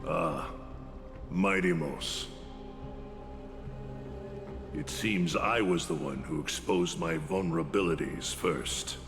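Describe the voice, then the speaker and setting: An actor playing an embittered, defiant old warrior teacher in a video game, gravelly and accusing in measured dramatic lines. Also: male voice, deep voice. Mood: wry, resigned. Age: older. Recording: long hall reverb under loud music.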